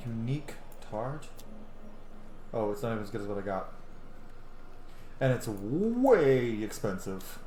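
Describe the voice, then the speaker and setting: A man's voice: fairly low pitch, big pitch swings, a little slow, pauses often, mellow, a little hiss or hum. Casual male gamer, echoey room. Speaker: game streamer. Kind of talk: casual playthrough commentary. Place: small room at home, indoors. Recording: echoey room, game music behind.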